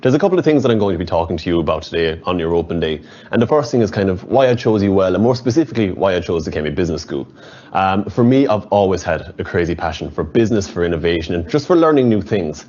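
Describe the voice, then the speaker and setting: A man's voice: deep voice, animated, quick pace, a little mellow, highs cut off. A young man in his twenties from West Limerick with a rural Irish accent, giving an enthusiastic prepared talk to prospective students. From West Limerick, Ireland. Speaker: student speaker.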